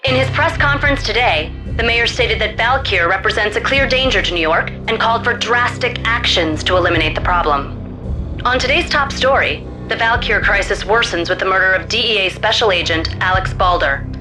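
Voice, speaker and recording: A woman playing a serious television news anchor with a crisp, formal newsreading delivery. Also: mid-range voice, expressive, a little fast, close to an everyday voice, low-quality recording. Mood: grave, formal. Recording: music behind the voice.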